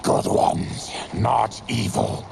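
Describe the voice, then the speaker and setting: An actor playing a fierce tusked warrior leader, speaking in a slow, gravelly growl. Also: male voice, on the low side, level tone, noisy background, full character voice. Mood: solemn.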